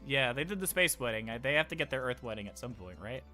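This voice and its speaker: A man's voice: mid-range voice, animated, fast, sharp tone, faint music behind. A man hosting a casual livestream, chatting in an informal, rambling, jokey way. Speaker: livestream host.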